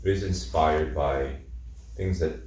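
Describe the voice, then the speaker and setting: A man talks about his film in a quiet, reflective way with lots of fillers. His voice is very low, he is distant from the mic, and a very roomy echo hangs on every word, with faint noise behind. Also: slow. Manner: soft-spoken, reflective, many fillers. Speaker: film director. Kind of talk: casual interview.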